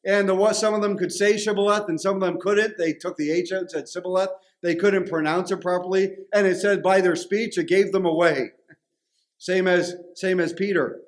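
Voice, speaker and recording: Pastor, male, Canadian accent, voice sitting a touch high, relaxed conversational sermon delivery. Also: moderate pace. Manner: conversational storytelling preaching.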